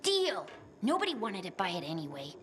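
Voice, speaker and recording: A woman's voice: medium pitch, huge pitch swings, fairly quick. A woman voicing a cheeky, streetwise girl of about ten in a video game, brash and slangy. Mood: bargaining. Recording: faint music behind the voice.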